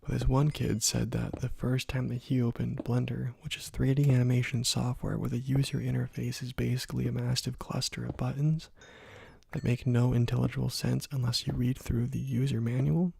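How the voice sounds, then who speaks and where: A young man talks softly and closely into the mic while playing a game, half-whispering in a laid-back, rambling way. His voice is heavily gravelly and breathy-husky, and the room sound is completely dry. He drifts through long stories padded with fillers. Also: mid-range voice, little pitch movement, normal speed, dry recording. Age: young adult. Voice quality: whispery and soft. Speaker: gaming ASMR channel host. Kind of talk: soft-spoken ASMR gameplay commentary. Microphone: close microphone.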